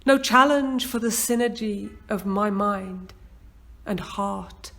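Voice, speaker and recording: A middle-aged woman reciting her own poem in a slow, measured, expressive way. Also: mid-range voice, creaky, soft background music. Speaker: poet. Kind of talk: poetry reading.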